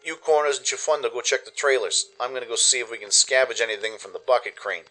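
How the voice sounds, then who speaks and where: A man playing a full-grown male zebra scavenger in an audio drama, brisk, familiar and commanding but helpful. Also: mid-range voice, pushed and bright, soft background music, close to an everyday voice, poor audio.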